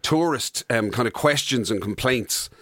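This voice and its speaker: A man's voice: mid-range voice, animated, a little slow, fairly bright tone, dry recording, studio quality. An Irish man co-hosting a radio show, bantering casually and reacting to his partner. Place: radio studio.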